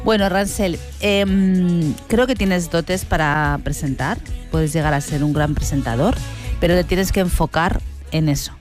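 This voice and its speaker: Woman, somewhat low voice, measured, frank, pausing fillers, faint music. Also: a little mellow. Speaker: casting panelist. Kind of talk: casual panel chat.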